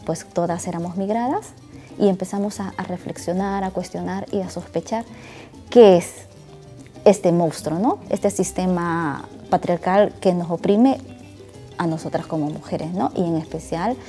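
A woman in her forties from El Salvador, speaking in a measured, reflective way. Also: on the low side, dark tone. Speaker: interviewee. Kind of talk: reflective testimony. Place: bright indoor hall.

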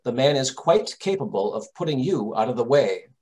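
Gentleman detective male actor, mid-range voice, composed, authoritative and reassuring, classic radio mystery delivery. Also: medium pitch, very animated, moderate pace, lightly acted. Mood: firm, instructing. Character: calm, commanding gentleman detective. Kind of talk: old-time radio drama acting.

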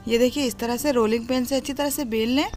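A woman's voice: a little high, fairly even intonation, fast talker, darkish tone. A woman narrating a step-by-step recipe tutorial in an instructive, explanatory manner. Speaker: home cooking host. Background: music.

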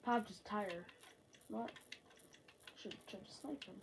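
Sick, slow-talking woman gaming. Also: medium pitch, slight background noise. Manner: slow, rambling, talks to self. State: sick, sore throat. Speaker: gamer. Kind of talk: casual gameplay commentary. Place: bedroom with TV.

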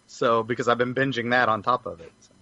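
Excited guy on a fan podcast, rambly, punchy bright voice, slightly muffled sound. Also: medium pitch, fast talker, no room sound. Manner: enthusiastic, rambling. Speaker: podcast panelist. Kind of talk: casual fan chat.

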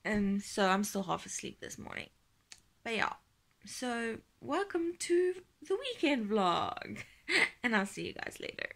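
A young woman in her twenties vlogging casually from bed, talking sleepily in a croaky, tired half-whisper into a phone held close. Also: on the high side, big pitch swings, a little slow, fairly bright tone. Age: 20s. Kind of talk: casual vlog chat. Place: bedroom, lying in bed.

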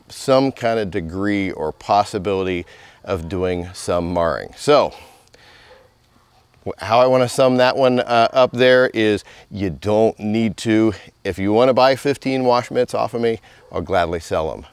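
A man's voice: lowish voice, unhurried, clean tone, no echo. A middle-aged man giving a casual, conversational hands-on tutorial as a car detailer, speaking outdoors on a close mic. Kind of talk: instructional demonstration, conversational. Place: outdoors in a residential driveway.

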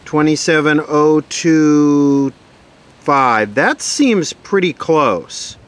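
A man giving casual, matter-of-fact commentary on a hands-on demonstration, unhurried, recorded outdoors. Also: a little high, expressive. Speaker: video host. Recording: loud wind and radio static noise. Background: wind noise, hissing radio.

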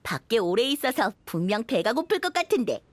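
A woman's voice: fairly high pitch, very animated, fairly quick, no room sound. A woman voicing a cheerful, caring young girl in a video game, friendly and eager in manner. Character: cheerful, caring young girl who feeds her companions. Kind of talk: animated video game voice acting.

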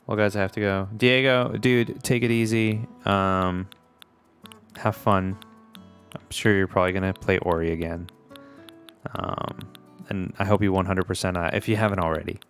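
A man from Puerto Rico with a low, gravelly voice, casually talking his way through a game for his viewers. He is laid-back and chatty, laughing easily at his desk mic at home. Soft game music plays quietly behind him. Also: steady tone, measured pace, long pauses. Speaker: let's play host. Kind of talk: casual gaming commentary. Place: home gaming room, indoors. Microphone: desk microphone with webcam.

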